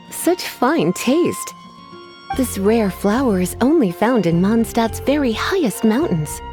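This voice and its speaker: Flirtatious witch librarian with lively, teasing lilt. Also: fairly high pitch. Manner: teasing, coquettish, sighing, genteel. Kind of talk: anime game voice acting.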